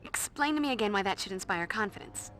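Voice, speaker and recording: A woman's voice: medium pitch, animated, fast, a bit bright, faint music behind, natural voice. An actress playing a tough young female vampire slayer who talks in a dry, sarcastic, commanding way. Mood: wry. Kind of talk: video game voice acting.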